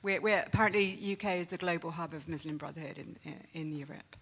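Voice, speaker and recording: A woman's voice: sits low, quick pace, a bit bright, a little hiss or hum. A woman, a freelance journalist pressing follow-up questions. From United Kingdom.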